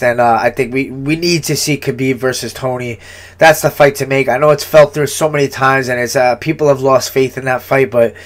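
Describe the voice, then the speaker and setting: A man with a stuffed-up, nasal voice talks through upcoming fights in a loose, rambling way, doubling back on his words and cursing casually. His pitch sits in an ordinary middle range for a man. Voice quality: congested, nasal, sniffling. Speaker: fighter giving fight picks. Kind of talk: casual fight breakdown monologue.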